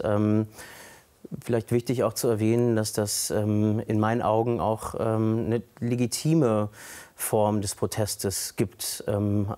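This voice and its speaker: A man answering questions in a broadcast interview, his voice low and mellow with little rise and fall. He chooses his words slowly, stopping often with fillers. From Germany. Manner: hesitant, many fillers and pauses. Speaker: interview guest. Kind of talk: recorded TV interview.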